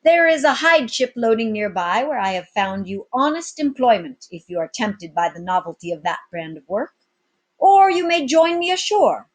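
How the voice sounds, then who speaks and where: A woman's voice: on the high side, sing-song, normal speed, voice pushed up. Woman playing a grandiloquent, commanding pirate captain. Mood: proud, inviting. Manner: commanding, florid, oratorical. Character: grandiloquent male pirate captain addressing his crew.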